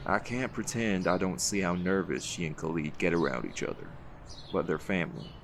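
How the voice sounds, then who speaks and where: A man playing a seasoned, folksy leader of a hunt in an audio roleplay, gravelly and reassuring, with a fond teasing streak. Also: sits low, moderate pace, background noise. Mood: warm, reflective.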